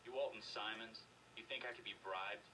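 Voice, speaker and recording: A man playing a defiant prisoner being interrogated in a video game. His voice is on the low side, curt, stubborn and resentful, recorded distantly with clear noise. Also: fairly even intonation, a little fast, close to an everyday voice.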